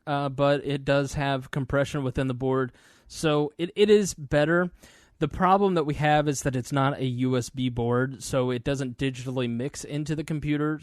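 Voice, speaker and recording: A man's voice: on the high side, no room sound. A man hosting a podcast, talking casually about his recording gear, chatty and informal with plenty of fillers, into a studio microphone. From Indiana, US. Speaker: podcast host.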